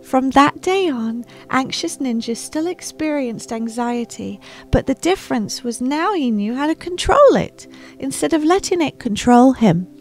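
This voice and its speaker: High-voiced woman reading a children's story. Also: lively intonation, measured pace, darkish tone, faint music behind. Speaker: storytime host reading picture books. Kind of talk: expressive children's story narration. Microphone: close microphone on a boom arm.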